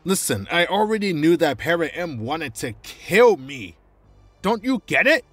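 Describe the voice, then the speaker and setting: A man acting a cornered, anxious informant in an audio roleplay, pleading and explaining tactics urgently in a husky voice. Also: a little high, sing-song, measured pace, a bit bright, no echo, exaggerated voice. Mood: panicked, urgent. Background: music.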